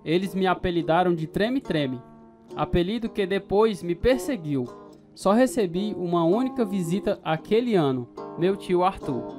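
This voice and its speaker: A man looking back on his youth in a dramatized radio story. He narrates in a measured, reflective way, with plucked guitar music clearly behind him. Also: high voice, lively intonation.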